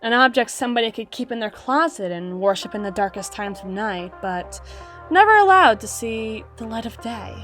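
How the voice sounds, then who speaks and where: A woman playing a wistful, guarded female half-spider bouncer in an intimate roleplay monologue, musing with dramatic pauses and soft trailing phrases. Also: on the high side, animated, soft background music. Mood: hurt, reflective. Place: close-miked recording with music playing behind.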